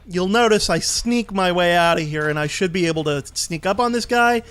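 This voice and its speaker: A man's voice: high-pitched, normal speed, fairly bright tone, clear, resonant tone. A man giving casual, easygoing commentary as he plays and explains a video game. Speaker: let's play host and player.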